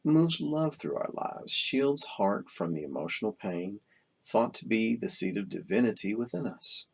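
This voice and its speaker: A man narrates a self-help audiobook in a very low voice with plenty of creak. The tone is husky and rough rather than clean. He phrases deliberately, pausing between clauses as he reads from the text. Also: expressive. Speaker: audiobook reader. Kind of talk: scripted narration, reading a self-help book aloud.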